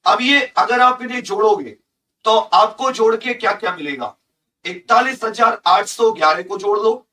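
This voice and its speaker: A man's voice: sits high, normal speed, pushed and bright, distant mic. A man, teaching emphatically and repeating key figures and points for his students. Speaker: online coaching teacher. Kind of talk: instructional lesson explaining job vacancy notices. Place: indoor teaching studio with large digital board.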